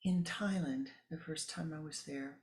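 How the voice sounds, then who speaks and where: A man's voice: a little high, unhurried. A man giving a spiritual talk, musing aloud and repeating words for emphasis. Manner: emphatic repetition, musing asides. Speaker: spiritual teacher. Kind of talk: sermon.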